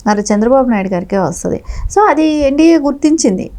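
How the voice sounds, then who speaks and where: A woman's voice: high-pitched, expressive, a little fast, warm tone. A woman speaks as a political spokesperson. Her commentary is conversational but emphatic, repeating points to insist on them. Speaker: political party spokesperson, interview guest.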